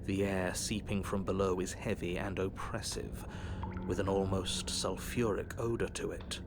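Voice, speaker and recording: An actor playing a prim, scolding British game narrator who speaks in a dry, precise way. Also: male voice, low-pitched, little pitch movement, normal speed, noisy background. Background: game music.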